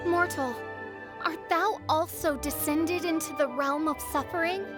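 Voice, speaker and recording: Pompous gothic princess girl, high-voiced. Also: measured pace. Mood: grandiose. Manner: pompous, archaic dramatic diction. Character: theatrical teenage girl posing as grandiose gothic princess. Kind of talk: video game character acting. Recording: music behind the voice.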